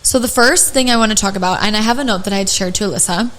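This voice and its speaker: A young woman with a slightly raspy voice hosts a casual podcast, pitched in the middle. She rambles through a story, restarting sentences and dropping in lots of "like" and "um". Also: racing, fairly bright tone, poor audio. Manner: chatty storyteller, many fillers and restarts. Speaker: podcast host.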